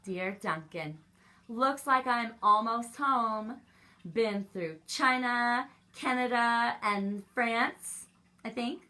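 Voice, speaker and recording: A woman's voice: a little high, slow, sharp tone. A woman voicing a cheerful, clueless globe-trotting crayon in a children's storybook reading. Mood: cheerful, unsure.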